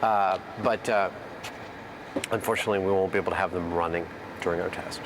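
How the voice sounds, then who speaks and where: A man's voice: deep voice, steady tone, brisk, noisy background. A man explaining an experiment on location at an outdoor testing site, in a matter-of-fact way. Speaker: co-host.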